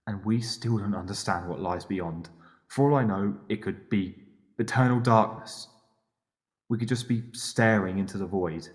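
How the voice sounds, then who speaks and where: A man's voice: on the low side, a little mellow, lightly acted. An actor playing a man's confident inner self in an audio drama, earnest and pleading, with an emphatic, coaxing manner. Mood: urgent, earnest.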